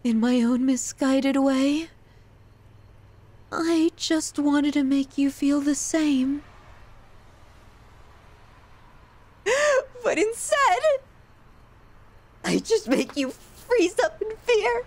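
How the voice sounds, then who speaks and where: Woman acting high-voiced, slow, lovesick yandere girl. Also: expressive, darkish tone. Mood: anguished self-loathing. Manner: emotional, halting, pleading delivery. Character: obsessive, lovesick, self-loathing violent young yandere woman. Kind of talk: intimate ASMR roleplay acting.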